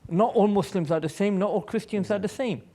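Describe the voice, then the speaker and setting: A man speaks at a public panel in a relaxed, mellow tone that sits a little higher than most male voices. He comes across as an earnest aid worker, explaining through personal stories and repeating phrases for emphasis. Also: a little fast. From born in Pakistan, American.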